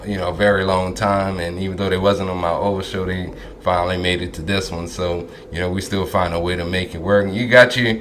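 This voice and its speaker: Really deep dude hosting a podcast, pretty monotone, lots of ums. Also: male voice, noisy background. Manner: easygoing, drawn-out with filler pauses. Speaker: podcast host. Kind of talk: casual interview chat. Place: Dallas.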